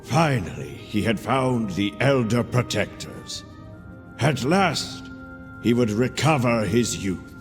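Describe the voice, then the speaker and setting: An actor playing a kindly older storyteller who tells a child a fairy tale in a theatrical, measured way for a video game. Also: male voice, mid-range voice, lively intonation, long reverb tail, faint music behind. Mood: dramatic, excited. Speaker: storyteller narrator. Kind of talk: dramatic fairy-tale narration.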